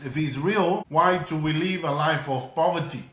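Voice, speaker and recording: A man's voice: on the high side, moderate pace, a bit bright, roomy sound. A middle-aged man from Tonga, a guest telling his story in an interview, earnest and often repeating words as he looks for them. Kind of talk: casual interview.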